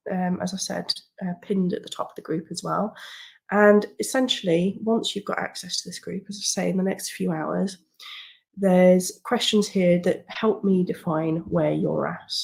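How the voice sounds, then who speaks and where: A woman with a cold and a slightly croaky voice, walking a webinar audience through her online group in a chatty way with many fillers and restarts. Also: fairly low pitch. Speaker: writing coach. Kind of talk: screen-share webinar walkthrough.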